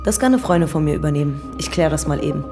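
Quick talking lady cop, lowish voice, dry and shrewd, music in the back. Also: lively intonation. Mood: persuasive. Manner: matter-of-fact, dry. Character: brisk, dry, shrewd female police detective. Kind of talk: naturalistic radio crime drama.

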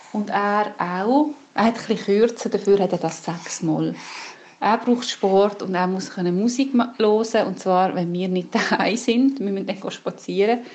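A woman's voice: medium pitch, darkish tone. A woman with a Swiss German accent giving a casual personal account as a parent. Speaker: parent sharing experience.

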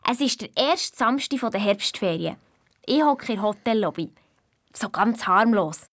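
A woman's voice: fairly high pitch, lively intonation, a little slow. A woman playing an eager, self-assured young girl with a Swiss German accent, telling a children's radio play. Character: eager dog-loving young girl, hotel owners' daughter. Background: music.

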